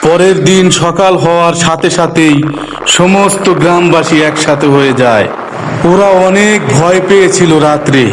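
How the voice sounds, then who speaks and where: A man's voice: fairly high pitch, normal speed, noisy background. A man narrating a scripted horror story in a dramatic storytelling manner.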